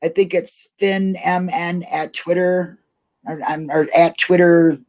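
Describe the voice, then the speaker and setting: A woman's voice: fairly low pitch, fairly even intonation, slow pace, darkish tone. A middle-aged woman hosting a casual live online discussion, chatting in a colloquial, rambling way. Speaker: host of an online talk show.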